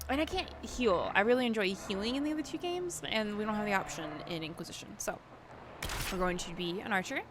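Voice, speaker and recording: A woman's voice: mid-range voice, noisy background. A woman hosting a gaming video, giving casual, chatty play commentary as she thinks aloud.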